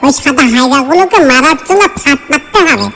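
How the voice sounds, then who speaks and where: A woman's voice: high voice, fairly quick, sharp tone. A woman voicing an alarmed cartoon villager who speaks with urgent pleading. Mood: alarmed urging. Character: alarmed villager urging the headman to act. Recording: long hall reverb, faint music.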